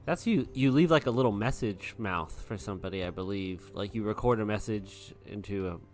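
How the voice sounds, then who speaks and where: A man's voice: lowish voice, normal speed, darkish tone. A man chatting casually as a player in a tabletop game. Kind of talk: casual chat. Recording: music behind the voice. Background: background music.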